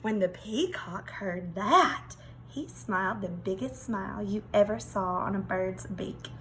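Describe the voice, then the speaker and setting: A woman reading a children's storybook aloud like a teacher, expressive, with dramatic emphasis. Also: medium pitch, a little slow, slight background noise.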